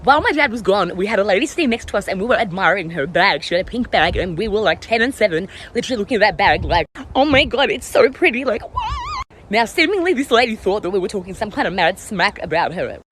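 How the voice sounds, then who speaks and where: A woman tells a casual story with dramatic indignation. Her pitch sits in the middle, and there is faint ambience behind her. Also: animated, fast talker, a bit bright, slight background noise.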